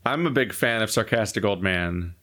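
A man's voice: fairly low pitch, animated, normal speed, fairly bright tone. A man in casual podcast chat, rambling and laughing often about game voice work.

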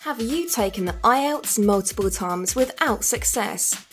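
A woman announcer reads a persuasive scripted promo in a polished way. Clear music plays under her, and her pitch sits in the middle. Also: lively intonation, a little slow. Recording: voice over music bed.

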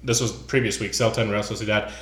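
A man's voice: fairly low pitch, steady tone, fast talker, echoey room. A man hosting a casual sports podcast, reading news items aloud with loose asides. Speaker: podcast host.

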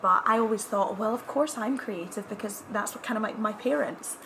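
A woman's voice: mid-range voice, brisk, pushed and bright, slight background noise, rough recording. A woman hosting a casual interview, encouraging and laughing along with her guest. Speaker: interviewer, host.

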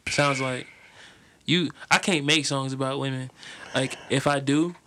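A man in loose, slangy casual chat with a friend, with a soothing speaking voice that he says turns raspy when he raps. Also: medium pitch, fairly even intonation, unhurried, a bit bright, slight background noise. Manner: loose slangy banter, teasing.

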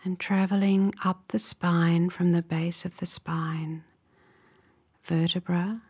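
Breathy, slow-paced woman guiding relaxation. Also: on the low side. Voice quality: soft, breathy, often near a whisper. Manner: soothing, gentle, measured delivery with short phrases and pauses. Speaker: guided meditation instructor. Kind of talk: guided relaxation meditation, calm step-by-step instructions.

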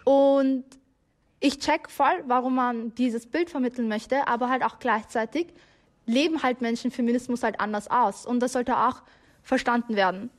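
A woman's voice: on the high side, normal speed. A young adult woman speaking casually as a radio guest, loose and searching, with lots of filler words. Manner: loose and searching, many fillers like halt and also. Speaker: interview guest. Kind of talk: casual spoken opinion.

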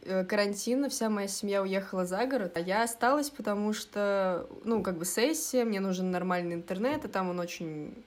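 A woman's voice: mid-range voice, moderate pace, hardly any pauses. Easygoing young woman guest. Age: young adult. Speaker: podcast guest. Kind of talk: casual chat.

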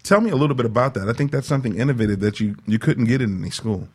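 A man's voice: mid-range voice, lively intonation, racing, slightly rough. A man co-hosting a casual radio interview in an encouraging, affirming way. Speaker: co-host.

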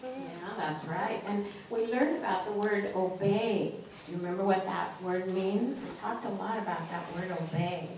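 A woman leads an informal children's lesson in church, explaining things simply and prompting with questions. Her voice is at an average pitch for a woman. It is picked up from a distance with heavy echo and faint noise. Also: normal speed, a little mellow. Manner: simple teacherly phrasing with frequent questions. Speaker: children's message leader at church. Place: large echoey indoor church.

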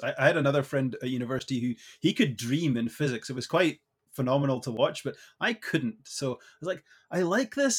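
A middle-aged Scottish man speaks as an interview guest. His answers come out thoughtfully, with restarts and filler words as he works through his ideas. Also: fairly high pitch. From Scotland.

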